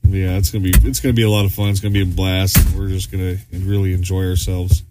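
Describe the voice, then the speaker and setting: Man, lowish voice, casual podcast chat, rambling with fillers. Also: flat delivery, fast talker, over loud music. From Arizona, US. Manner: rambling, many fillers and restarts. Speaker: podcast co-host.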